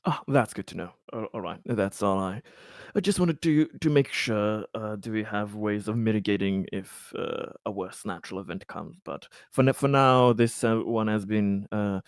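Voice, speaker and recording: Male tabletop player, low register, casual and searching for words, recorded dry. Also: normal speed, hardly any pauses, no room sound. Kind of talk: casual in-game chat, describing his character's actions.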